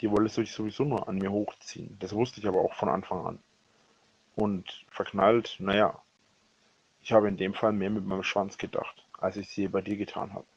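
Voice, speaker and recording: A man speaking a rambling personal voicemail, halting and often correcting himself, with a husky voice. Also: lowish voice, little pitch movement. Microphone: voice message recorded on a phone. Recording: dull lo-fi leaked voicemail recording.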